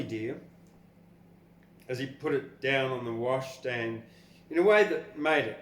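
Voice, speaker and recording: An older Australian man hosting a poetry podcast, talking and reading in an informal, rambling, blunt way. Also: medium pitch. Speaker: poetry podcast host and reader. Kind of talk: casual commentary and expressive poetry reading. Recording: echoey room with faint background noise.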